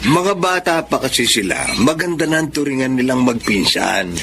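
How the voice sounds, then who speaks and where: A man's voice: medium pitch, animated, normal speed, noisy background, close to an everyday voice. A man playing a scheming, cajoling older father-in-law in a Filipino radio drama, with a folksy manner. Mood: persuasive.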